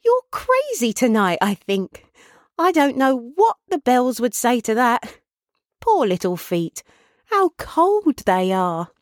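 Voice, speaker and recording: A woman voicing a tender, playful young daughter in a storybook, with a soothing, fond and gently teasing manner. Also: a little high, sing-song, slow pace, dry recording, clean studio sound, pitched above the performer's own voice. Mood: amused, fond. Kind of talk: storybook character voice.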